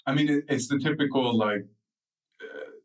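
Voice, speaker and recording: A man's voice: mid-range voice, normal speed, distant mic, low-quality recording. A man in his thirties with an American accent, a guest in an interview, telling stories about his past in a loose way with lots of fillers and restarts. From United States. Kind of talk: relaxed interview storytelling.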